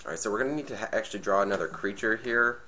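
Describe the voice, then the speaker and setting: A man with a slightly raspy, nasal voice from being sick, casually commentating a card game match and thinking aloud as he plays. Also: on the low side, rapid-fire, sharp tone. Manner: thinking aloud, hesitant restarts. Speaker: gameplay commentator.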